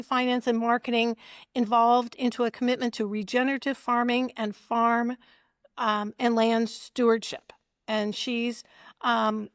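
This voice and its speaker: A woman moderating a community forum, introducing speakers with many pauses and restarts. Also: a little high, dry recording, clean studio sound. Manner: hesitant, many ums and restarts. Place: community meeting room.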